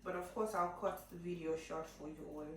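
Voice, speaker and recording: A woman's voice: fairly low pitch, fairly even intonation, fairly quick, echoey room, distant mic, a little hiss or hum. A young woman with a Nigerian accent chatting playfully to viewers while cooking in her home kitchen, laughing often. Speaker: cooking vlogger. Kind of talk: casual cooking tutorial chat.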